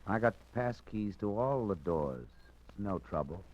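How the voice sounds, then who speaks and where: A man's voice: on the low side, lively intonation, unhurried, slight background noise. A sly, aging killer posing as a night elevator operator in an old radio thriller. His offhand, prying lines come through a dull, lo-fi 1940s broadcast. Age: older.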